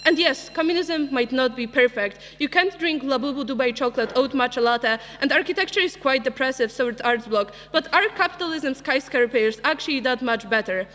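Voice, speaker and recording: High-voiced woman with a Polish accent. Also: brisk, few pauses, a bit bright, slight background noise. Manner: impassioned and wry. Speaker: debate speaker. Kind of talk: formal debate speech. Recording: reverberant debating chamber.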